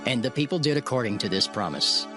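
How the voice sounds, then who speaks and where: A man narrating a dramatized King James Bible reading in a solemn, measured way. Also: mid-range voice, lively intonation. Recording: narration over clear music bed.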